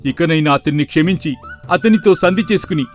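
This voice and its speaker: A man's voice: fairly high pitch, a little fast, soft background music, lightly acted. A man voicing a bold, rebellious soldier earnestly reproaching his king in a storybook cartoon. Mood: indignant. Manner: protesting, earnest.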